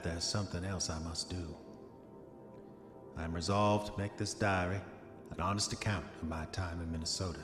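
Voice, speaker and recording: An actor playing a haunted, hot-tempered prospector confessing in his diary, with formal old-fashioned, brooding delivery in a horror audio drama. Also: low voice. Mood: uneasy, haunted, then solemn, recounting. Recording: echoey voice with long reverb, music behind. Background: music.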